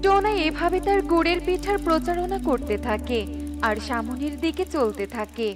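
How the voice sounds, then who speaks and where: A woman's voice: very high voice, normal speed. A woman giving scripted narration for a children's cartoon story, with music behind her.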